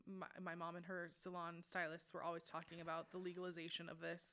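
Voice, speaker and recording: A woman in her late twenties from Washington State, co-hosting a podcast in a relaxed, conversational way and laughing along. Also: lowish voice, quick pace. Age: late 20s. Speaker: podcast co-host. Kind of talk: casual chat.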